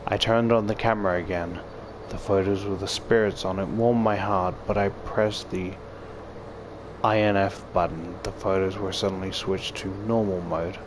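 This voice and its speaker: A man's voice: deep voice, steady tone, moderate pace, noisy background. A man reading a visual novel aloud as a gaming YouTuber, with casual, profane commentary and exclamations.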